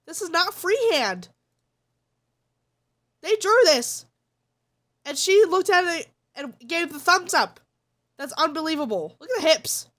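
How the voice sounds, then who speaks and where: A woman's voice: very high voice, animated, measured pace, pauses often, a bit bright. A congested woman streamer chatting casually and giving blunt, opinionated takes. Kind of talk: casual live-stream chat.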